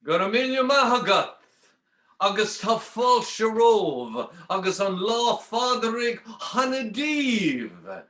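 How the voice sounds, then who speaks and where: High-voiced storyteller in an echoey room. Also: male voice, big pitch swings, takes it slowly, a bit bright. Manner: earnest, with frequent fillers and repeated words. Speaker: storyteller and folk singer. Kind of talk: live storytelling performance. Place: public library event room.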